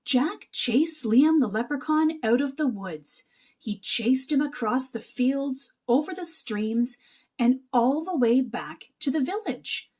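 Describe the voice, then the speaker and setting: A woman narrating a children's picture book aloud as an expressive, animated storyteller. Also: high-pitched, slow pace.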